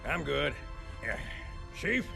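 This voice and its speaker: An actor playing a gruff marine sergeant in a game, with informal, cocky swagger. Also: male voice, on the high side, very animated, slow pace, over music, heavily acted. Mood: casual, startled.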